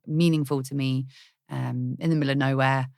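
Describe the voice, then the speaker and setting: A woman in her thirties, a guest talking candidly and quickly in a casual podcast conversation. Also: rumbling low, fairly even intonation, creaky, a little mellow, no echo, clean studio sound. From Britain. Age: 30s. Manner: candid, rapid, restarts, occasional swearing. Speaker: guest, actress.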